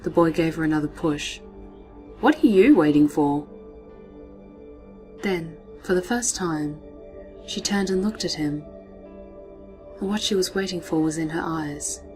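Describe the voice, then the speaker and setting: A woman reading a story aloud, often dropping into hushed, near-whispered narration. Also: sits low, moderate pace, faint music behind.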